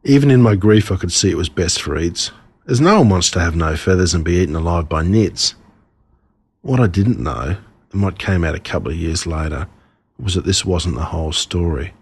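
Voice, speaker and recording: An Australian man reading his own humorous stories as scripted narration, in an expressive, wry way. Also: low-pitched, normal speed, husky.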